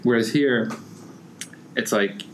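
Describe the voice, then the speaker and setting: A man's voice: mid-range voice, takes it slowly, a little hiss or hum. A young man from the United States chatting casually, rambling through his thoughts with constant "like" fillers. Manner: rambling, heavy use of "like" fillers. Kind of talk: casual conversation.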